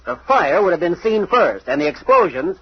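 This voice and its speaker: A man's voice: high voice, sing-song, moderate pace, slightly rough, full character voice. An actor playing a smug, self-assured know-it-all in an old radio mystery, lecturing as he picks apart a story. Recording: dull old radio recording.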